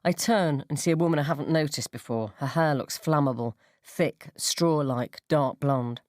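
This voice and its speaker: A woman reading a first-person short story for radio in a wry, expressive, sardonic manner. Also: deep voice, normal speed, no room sound, studio quality. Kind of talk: dramatised first-person literary narration for radio.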